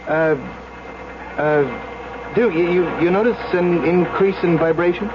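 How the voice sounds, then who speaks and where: Earnest, high-pitched young-to-the-job engineer. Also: male voice, slow pace, noisy background. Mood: earnest, cautious. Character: earnest, by-the-book new county engineer. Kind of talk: old-time radio drama acting. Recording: dull old radio recording.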